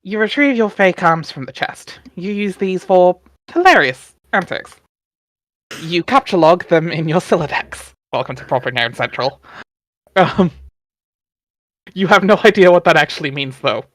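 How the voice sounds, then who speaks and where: Mid-pitched voice, livestream host, dramatic reading, casual asides, dry close sound. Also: animated, normal speed, fairly bright tone, dry recording. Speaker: stream host and reader.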